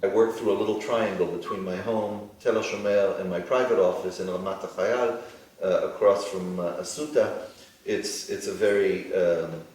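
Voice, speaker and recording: A very deep-voiced middle-aged man whose first language is Hebrew talks through his answers in a measured way, pausing on ums and uhs. He sounds set well back from the mic in a strongly echoing studio. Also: steady tone, a little mellow. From Israel. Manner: deliberate, many ums and uhs. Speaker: plastic surgeon, interview guest. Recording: echoey studio, mic far off.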